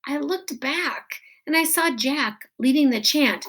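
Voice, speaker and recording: A woman reading a children's novel aloud in a deliberate, expressive storytelling style with weighted pauses. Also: sits high. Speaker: teacher reading a book aloud.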